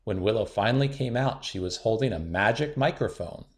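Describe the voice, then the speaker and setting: A man reading a children's picture book aloud in a gentle, expressive storytelling manner. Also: lowish voice, fairly quick. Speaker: storybook reader.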